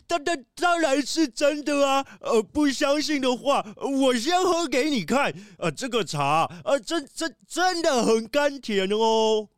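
A man's voice: very high-pitched, animated, unhurried, hardly any pauses. A man voicing a nervous male pangolin factory worker who stutters constantly, in a children's radio drama. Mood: insistent. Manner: stutters constantly, many fillers. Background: background music.

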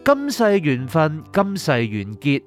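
A man's voice: on the high side, wildly swooping pitch, takes it slowly, soft background music, exaggerated voice. A man playing a recently dead, reflective young man at peace with letting go, in a radio drama. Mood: reflective, calm. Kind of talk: Cantonese radio drama acting and first-person narration.

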